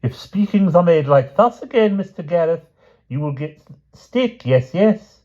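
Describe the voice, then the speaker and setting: A man's voice: fairly high pitch, animated, unhurried, darkish tone, muffled recording, voice pushed up. A man voicing a pompous foreign knight tutoring children, speaking in broken, stilted formal English. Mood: haughty.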